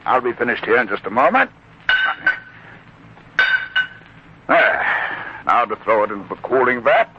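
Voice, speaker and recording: An actor playing a proud, loyal, warm-hearted blacksmith father in a theatrical 1940s radio drama style. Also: mid-range voice, expressive, brisk, close to an everyday voice. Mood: hearty. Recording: muffled, noisy 1940s radio recording.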